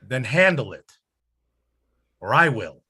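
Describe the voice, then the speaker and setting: A man's voice: medium pitch, sing-song, slow, sharp tone, husky, full character voice. A man acting a volatile, domineering father. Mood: angry, threatening. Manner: stern, bullying, confrontational. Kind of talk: staged reading of a stage drama.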